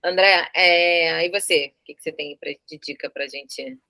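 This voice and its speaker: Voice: mid-range voice, fairly even intonation, moderate pace, creaky, bright, forceful tone, no room sound, studio quality. An interviewer with a Brazilian Portuguese accent, chatting casually. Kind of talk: casual chat.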